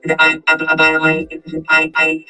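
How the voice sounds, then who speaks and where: A woman talking casually as a flat electronic robot through a voice changer. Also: sits low, measured pace, over loud music, voice pushed down, rough recording. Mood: flat, chatty.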